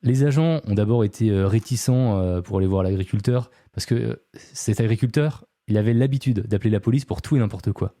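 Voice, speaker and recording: A man's voice: on the low side, brisk, hardly any pauses, dark tone, no room sound, studio quality. A man hosting a podcast, telling a true-crime story casually, with many fillers and chatty asides to his co-host.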